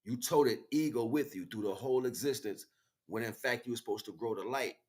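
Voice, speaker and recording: An American man giving an emphatic, freestyle spiritual monologue in street slang, repeating phrases as he goes, with a husky voice. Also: medium pitch, fairly quick, darkish tone. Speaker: spiritual livestream speaker.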